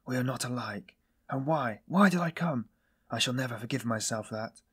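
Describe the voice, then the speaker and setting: A man playing a weary, self-loathing man in audiobook dialogue, confessing in a brooding, bitter, halting manner. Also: mid-range voice, level tone, fairly bright tone, slightly rough.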